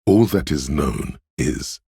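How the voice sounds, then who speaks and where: A man's voice: animated, slow, dark tone, no room sound, studio quality, full character voice. A man voicing a dreamy, mystical inner voice of intuition in a video game, narrating in a brooding, theatrical and intimate way. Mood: cryptic. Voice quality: very deep, gravelly, husky. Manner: brooding, theatrical, addressing the listener as you.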